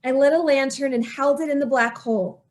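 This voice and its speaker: A woman's voice: sits high, a little fast, darkish tone, close to an everyday voice. A woman acting a distraught, secretive wife in an old-time radio horror play, telling her tale with dramatic, emphatic repetition. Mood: hushed dread.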